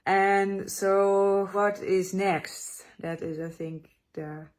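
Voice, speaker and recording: A woman's voice: medium pitch, steady tone, very slow, darkish tone. Breathless woman vlogger. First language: Dutch. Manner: tired, hesitant, frequent restarts. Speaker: travel vlogger. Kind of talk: casual talk to camera.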